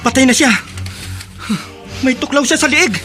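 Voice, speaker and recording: An actor playing an excitable, skeptical man in a Filipino horror radio drama, speaking with urgency. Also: very high-pitched, moderate pace, faint music behind. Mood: alarmed.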